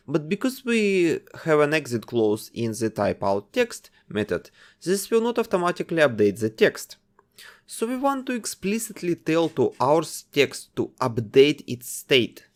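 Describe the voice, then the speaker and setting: Man with a lively voice narrating a coding tutorial. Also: fairly high pitch. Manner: explains code step by step while testing it. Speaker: game development tutor. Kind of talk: screen-recorded coding tutorial.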